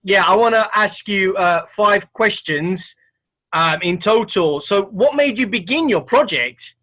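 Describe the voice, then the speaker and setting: A man's voice: high-pitched, animated, unhurried, pushed and bright. A man hosting an interview over a video call, rambling and filling his speech with lots of filler words. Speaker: interview host.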